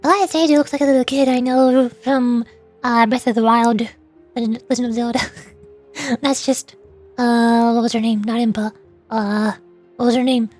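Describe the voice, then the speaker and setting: Female game streamer, very high voice, casual live commentary, faint music underneath. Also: steady tone, normal speed, pauses often, a little mellow.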